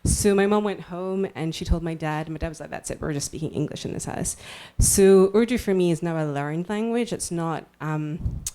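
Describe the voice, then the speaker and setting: A Scottish woman answering a question on a panel at a live reading. Her voice sits low and mellow, and she talks quickly and casually, dropping fillers as she goes. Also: smooth. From Scotland. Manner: quick, chatty, many fillers. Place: event hall.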